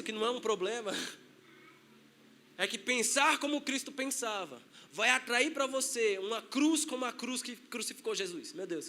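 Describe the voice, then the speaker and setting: A man's voice: very high-pitched, bright, forceful tone. A man with a Brazilian Portuguese accent, a pastor preaching a sermon in a conversational way, acting out quotes.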